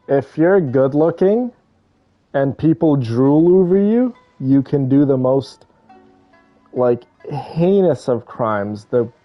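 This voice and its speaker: A man giving informal, opinionated reaction commentary while playing a game, in a conversational style. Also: medium pitch. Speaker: game streamer. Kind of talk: casual reaction commentary over gameplay. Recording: slightly dull audio, game music behind.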